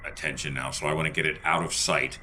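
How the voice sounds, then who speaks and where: Forceful, bright-voiced man at a game table. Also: extremely low pitch, fairly even intonation, fast, a little hiss or hum. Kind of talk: casual in-game table talk.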